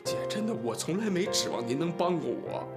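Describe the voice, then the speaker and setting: A man's voice: fairly high pitch, sing-song, brisk. An actor playing an exasperated, long-suffering younger brother in his thirties in a TV family drama, pleading in rhetorical questions. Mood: pleading, frustrated. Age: 30s. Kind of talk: naturalistic TV drama acting. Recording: background music under dialogue.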